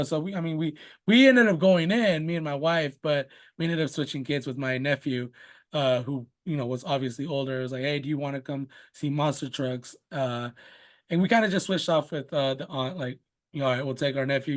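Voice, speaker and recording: A young man, a native Spanish speaker and father, chatting casually about his young son in a rambling way full of "you know" and "like". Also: mid-range voice. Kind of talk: casual conversational interview.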